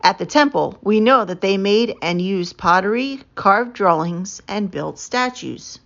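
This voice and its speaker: A woman's voice: mid-range voice, expressive, measured pace, gravelly, fairly bright tone, muffled recording. A woman voicing a studious, good-natured young male archaeologist in a children's storybook, keenly sharing facts. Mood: informative.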